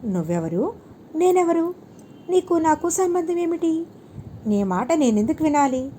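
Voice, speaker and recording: Storybook sage, played by a woman: serene holy teacher, gently admonishing, deliberate, higher-pitched soft tone, faint noise. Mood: calm, questioning. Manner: calm, deliberate, preaching with rhetorical questions.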